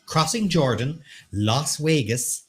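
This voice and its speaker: A playful Irish man reading quiz questions, his pitch a little high and very lively. He drops quick jokes between the options while faint music plays behind. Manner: playful, reads questions aloud, quips and sings snatches. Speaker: quiz host. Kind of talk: livestreamed pub quiz banter.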